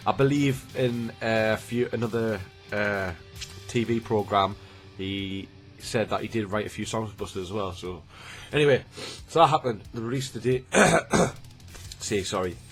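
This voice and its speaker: A young man with a slightly blocked, snotty voice from a cold, speaking in a fairly low register. He chats loosely to his viewers, circling back with ums and restarts. A faint strand of music sits quietly behind him. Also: unhurried. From Newcastle, England. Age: 20s. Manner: rambling, chatty, with frequent ums and restarts. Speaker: YouTuber. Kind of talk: casual chat to camera.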